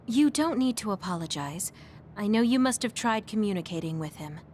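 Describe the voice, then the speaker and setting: A woman playing an understanding, reassuring teammate in an anime game dub, speaking in a gentle, encouraging manner. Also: medium pitch, expressive, brisk, a little hiss or hum, close to an everyday voice.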